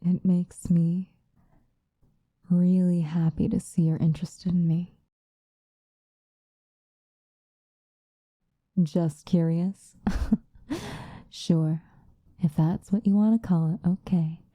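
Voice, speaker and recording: Woman playing a dark, hushed, seductive vampire. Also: lowish voice, steady tone, no room sound. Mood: teasing, flattered. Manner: soft, breathy, drifting into whispers, unhurried. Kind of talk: ASMR roleplay, intimate confessional monologue. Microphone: close microphone, dry.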